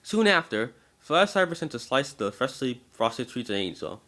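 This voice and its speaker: Bright-voiced man narrating a story. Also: medium pitch, big pitch swings, moderate pace. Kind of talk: scripted story narration, dramatic reading.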